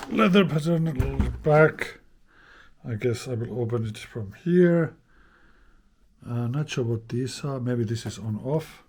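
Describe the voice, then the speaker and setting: A man's voice: medium pitch, vocal fry, background noise. A man giving an informal, unscripted hands-on demonstration, thinking aloud in a hesitant way as he explains. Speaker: host of a vintage radio repair channel.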